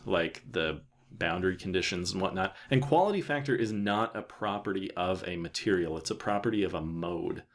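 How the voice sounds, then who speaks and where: A man with a fairly low voice presents a technical software tutorial. He talks casually and thinks aloud as he goes, leaving pauses as he works through each step. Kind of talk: informal software tutorial narration.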